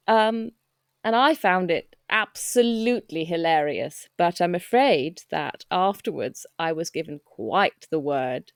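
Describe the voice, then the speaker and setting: A woman playing a pompous, self-satisfied stage actress, speaking in a measured, self-amused way in a mockumentary interview. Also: on the high side, no echo, studio quality.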